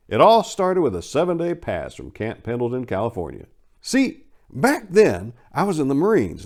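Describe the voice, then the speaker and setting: A man performing as a host and dramatic story reader, with a theatrical, emphatic, wry delivery. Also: mid-range voice. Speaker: writer and channel host performing dramatic readings. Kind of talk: dramatic first-person story reading.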